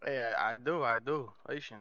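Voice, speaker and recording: A man playing an apologetic, defensive mob captain in an online game roleplay, speaking haltingly over slightly dull voice chat. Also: mid-range voice, pushed and bright, dry recording. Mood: submissive. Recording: dull, band-limited voice chat.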